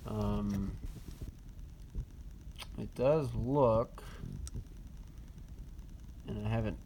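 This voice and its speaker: A man giving a casual, unscripted hands-on walkthrough of a gadget, speaking in a halting way with frequent ums. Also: fairly low pitch, dark tone. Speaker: presenter of a radio disassembly video. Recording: noisy recording with handling clicks.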